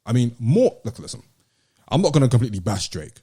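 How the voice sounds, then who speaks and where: A man's voice: medium pitch, sing-song, fast talker, warm tone, breathy edge. A British man hosting a podcast, debating music casually and emphatically, repeating himself to drive points home. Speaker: podcast host.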